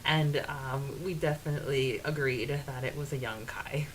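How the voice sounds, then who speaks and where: A woman's voice: extremely low pitch, moderate pace, rough recording. A giggly woman co-hosting a podcast, casually discussing a book and reading out quotes from it. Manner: giggly, often laughing. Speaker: podcast co-host. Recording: hissy background noise.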